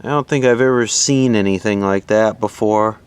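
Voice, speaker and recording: A man giving casual hands-on repair commentary, thinking aloud in an offhand, low-key way as an electronics hobbyist. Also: normal speed, clear, resonant tone, no echo. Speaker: electronics repair hobbyist presenting his own video.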